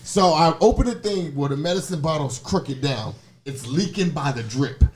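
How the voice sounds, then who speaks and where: A man's voice: on the high side, lively intonation, normal speed, a loud crowd. A man telling a comic story in casual, slangy conversation, acting out the exchanges as he goes. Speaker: podcast guest telling personal stories.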